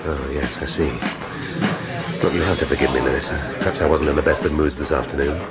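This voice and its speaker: A world-weary police inspector speaks in a deep, low voice. He is dry, articulate and sardonic, in radio drama style, with prominent music behind him. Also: steady tone, fairly quick. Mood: explaining, assured. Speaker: actor in a radio detective drama.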